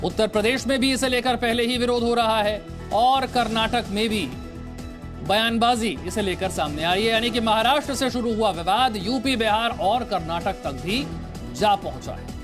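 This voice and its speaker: High-pitched male news anchor over music. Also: moderate pace. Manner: explanatory, addressing viewers directly. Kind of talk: Hindi TV news presentation. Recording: music bed under the voice.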